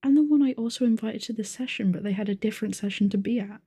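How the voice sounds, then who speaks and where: A woman's voice: a little high, fast, mellow, husky, dry recording, clean studio sound. A woman playing a strict, blunt game master of a nonhuman species, matter-of-fact and pedantic, in an ASMR audio roleplay. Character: strict, blunt, rule-following game master with sensitive ears, female nonhuman.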